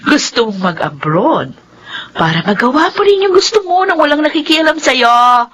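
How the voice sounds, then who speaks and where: Bossy young filipina sister, high n very animated, sassy radio drama. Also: female voice, moderate pace. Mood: scornful, challenging. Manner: sassy, challenging. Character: bossy, stubborn young adult sister, argumentative.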